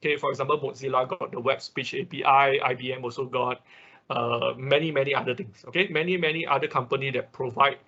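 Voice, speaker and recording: Husky, bright-voiced male online instructor. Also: medium pitch, steady tone, quick pace, lo-fi sound. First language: not English. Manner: explanatory, often checking in with listeners, repeating himself. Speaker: instructor of an online robotics class. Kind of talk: informal online technical lesson.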